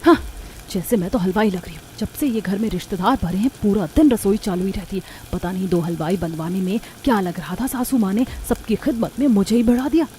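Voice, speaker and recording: A woman's voice: mid-range voice, fairly quick, mellow, slight background noise, lightly acted. Woman acting an exasperated young daughter-in-law. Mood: irritated. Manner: huffy, complaining. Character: exasperated, overworked young daughter-in-law, dutiful but resentful. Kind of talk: Hindi cartoon story dialogue.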